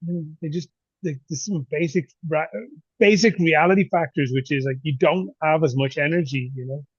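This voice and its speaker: A chatty man in his forties, his voice pitched a little high for a man. He talks easily and laughs often. The audio comes through a dull, dry remote call line with no room sound at all. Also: moderate pace, husky, no echo. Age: 40s. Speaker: podcast host. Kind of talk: casual conversation.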